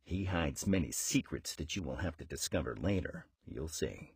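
A man's voice: extremely low pitch, expressive, gravelly, breathy edge, no room sound, clean studio sound, exaggerated voice. A man playing a sinister grown ice cream man in a horror game, brooding and coaxing, with a theatrical delivery. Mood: conspiratorial, promising. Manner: slow, brooding, theatrical with sudden swoops. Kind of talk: horror game cutscene acting.

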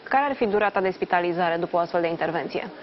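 A young woman presenting a morning news show from a TV studio, reading a clear scripted intro and asking interview questions. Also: lowish voice, animated, lo-fi sound, slight background noise. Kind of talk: live TV interview.

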